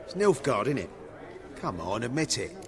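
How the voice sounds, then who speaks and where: Lively, cocky gambler. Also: male voice, medium pitch, normal speed, a crowd in the background. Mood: smug. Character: cocky, common newly rich gambler man. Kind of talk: video game voice acting.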